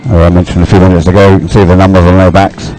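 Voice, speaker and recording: Male live race commentator, lower register, tentative and thinking out loud, faint music underneath. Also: level tone, rapid-fire, poor audio. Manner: hesitant, thinking aloud. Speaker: sports commentator.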